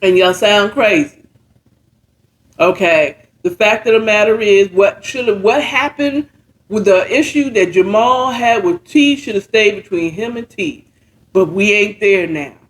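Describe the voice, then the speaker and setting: A high-voiced American man tells a story informally, as a host recapping it with commentary. He takes his time, uses plenty of slang, and checks in with rhetorical questions as he goes. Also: measured pace, a bit bright, poor audio. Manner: acts out characters' dialogue. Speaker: host retelling and reacting to a story.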